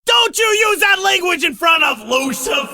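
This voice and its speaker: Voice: extremely high pitch, expressive, moderate pace, bright, forceful tone, dry recording, raised voice, full character voice. An actor voicing a flamboyant, cocky demon guide from hell in a visual novel, with a showy, self-satisfied delivery. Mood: excited. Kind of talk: theatrical visual novel character acting.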